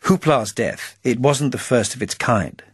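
A man's voice: mid-range voice, expressive, unhurried, slightly rough, no echo, voice pushed up. A man voicing a debonair older secret agent in a spy story, weary and testy, with a dry, arch, probing manner. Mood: probing, stiff.

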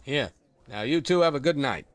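A man's voice: medium pitch, expressive, normal speed, no echo, natural voice. A man playing a drunken, boastful television superhero star in an audio drama. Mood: cocky.

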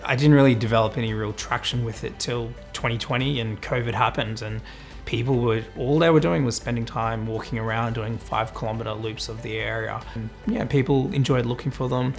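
Guy w a gravelly voice, laid back reflective storytelling, soft music underneath. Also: mid-range voice, steady tone, fairly quick. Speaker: interviewee. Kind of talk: recorded personal story. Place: indoor living room.

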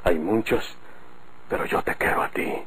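An actor playing a blunt, self-assured bandit rancher in a Mexican radio drama, with a Mexican accent. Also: male voice, moderate pace, a bit bright, natural voice. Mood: courting, insistent. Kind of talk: golden-age Mexican radio drama acting. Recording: noisy old radio drama recording.

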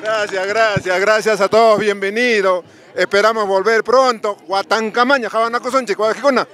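High-voiced man interviewing outdoors. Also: fairly even intonation, normal speed, fairly bright tone. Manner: jocular, teasing. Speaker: roving interviewer at a village fiesta. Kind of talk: casual on-the-spot interviewing. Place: outdoors at a village festival lunch. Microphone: handheld microphone. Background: music and outdoor sounds.

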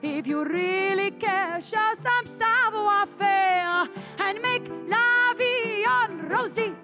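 A woman's voice: very high-pitched, slow pace, over loud music, pitched above the performer's own voice. A woman playing a haughty, theatrical French chanteuse with a put-on French accent, singing comic cabaret songs. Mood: mocking song. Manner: camp, mocking, flamboyant, sung with musical backing. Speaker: cabaret performer. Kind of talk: comic cabaret song and patter.

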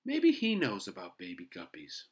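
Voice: sits low, huge pitch swings, moderate pace. An adult reader voicing a young schoolboy, eager and earnest, in a children's storybook. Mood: worried.

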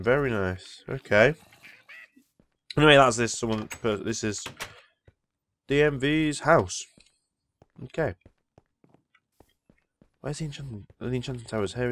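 A man's voice: mid-range voice, unhurried, pauses often, fairly bright tone. Ill man rambling over a game. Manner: rambling, easily impressed. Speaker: Minecraft let's player. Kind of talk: casual gameplay commentary.

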